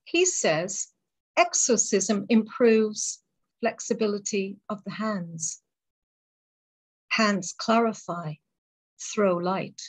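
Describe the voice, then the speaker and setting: A woman poet reading her poems aloud and talking between them, in a measured, deliberate way with pauses between phrases. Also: medium pitch, rough recording. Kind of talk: poetry reading.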